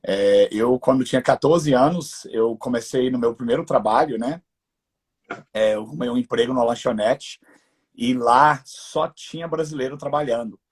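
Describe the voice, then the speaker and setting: An American man who learned the language as a teenager, chatting relaxed in a live interview and often adding "né". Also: mid-range voice, normal speed, slightly rough. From United States. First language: English. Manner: relaxed, laughing, tag questions with "né". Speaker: guest entrepreneur.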